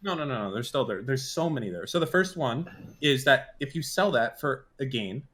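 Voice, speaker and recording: A brash man in his mid thirties speaks at an ordinary middle pitch on a casual call. He talks bluntly and crudely. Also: animated, normal speed, a crowd in the background. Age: 30s. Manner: brash and crude, insulting banter. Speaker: guest caller. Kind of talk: casual debate on a stream call.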